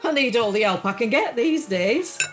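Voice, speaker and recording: A woman's voice: a little high, lively intonation, moderate pace, natural voice. A woman playing a chatty, plucky older widow in an amateur radio play, with a Northern English accent and an earthy, self-deprecating manner. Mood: jokey, earthy. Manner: earthy, self-deprecating, uses homely dialect. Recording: faint music, home video-call recording.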